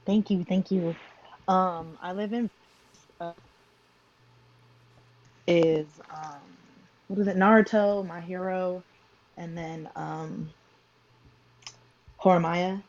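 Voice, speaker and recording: A woman's voice: fairly low pitch, fairly even intonation, takes it slowly, long pauses, darkish tone. A woman speaking hesitantly with many fillers in a casual group voice chat. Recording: dull lo-fi call audio.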